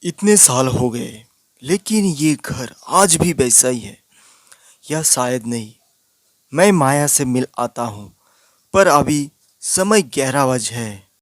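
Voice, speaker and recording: A man's voice: mid-range voice, expressive, slow pace, no echo. A man playing an earnest, stubbornly brave young villager in a cartoon horror story. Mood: pensive.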